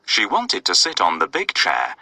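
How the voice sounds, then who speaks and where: Very animated, bright-voiced male storyteller. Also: low-pitched, moderate pace, vocal fry, no room sound, clean studio sound. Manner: expressive, addresses young listeners with questions. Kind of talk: scripted children's story narration.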